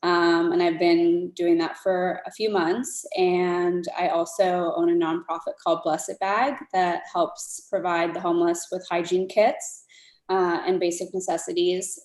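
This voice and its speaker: A woman speaks quietly and steadily as she introduces herself on a panel. Her voice is low, and the echoey room comes through on a video call mic. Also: unhurried. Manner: quiet and steady, occasional ums. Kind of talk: casual personal introduction.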